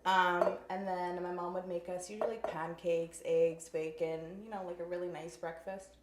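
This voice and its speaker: A woman in her twenties co-hosting a casual chat, relaxed and rambling with lots of fillers. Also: on the low side, normal speed. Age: 20s. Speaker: co-host. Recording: echoey room with faint noise.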